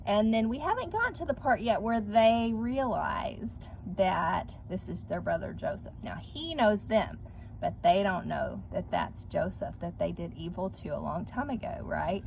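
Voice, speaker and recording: A woman reading and discussing a children's story like a teacher, expressively and speaking directly to young listeners, with clear background noise. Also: medium pitch, lively intonation, a little slow, darkish tone, poor audio. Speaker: read-aloud teacher. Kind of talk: children's story read-aloud with questions to listeners.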